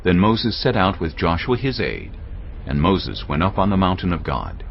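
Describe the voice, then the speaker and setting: Deep, lively male scripture narrator. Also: normal speed, vocal fry, slight background noise. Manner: expressive storytelling delivery. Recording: dull lo-fi audio, faint music.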